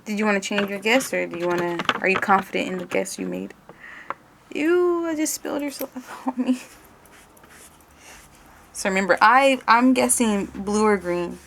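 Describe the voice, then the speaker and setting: A woman with a creaky voice doing an ASMR roleplay in her normal speaking voice, casual and hesitant as she talks through each step. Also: mid-range voice, animated, normal speed, long pauses, a bit bright, a little hiss or hum. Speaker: ASMR creator playing an examiner.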